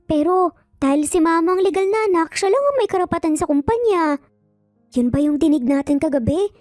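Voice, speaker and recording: Woman voicing a high-pitched, earnest little kid. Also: animated, fairly quick, a little mellow, no echo, clean studio sound, faint music behind. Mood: earnest, wondering. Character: six-year-old triplet children, curious and anxious. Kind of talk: Tagalog audio story dialogue.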